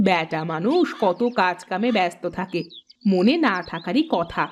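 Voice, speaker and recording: A woman's voice: lowish voice, animated, normal speed, muffled recording, slight background noise, lightly acted. A woman voicing a friendly, chatty village neighbour in a cartoon, with an easygoing manner. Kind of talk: cartoon voice acting.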